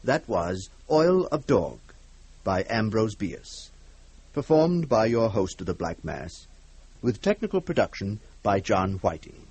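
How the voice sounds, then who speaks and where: Slow, husky male radio host. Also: lowish voice, very animated, darkish tone, no echo. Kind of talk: scripted radio sign-off.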